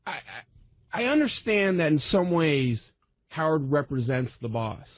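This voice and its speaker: A man chatting on a radio panel in a hesitant, halting way, often repeating himself. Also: on the high side, big pitch swings, no room sound, muffled recording. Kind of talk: casual radio roundtable chat.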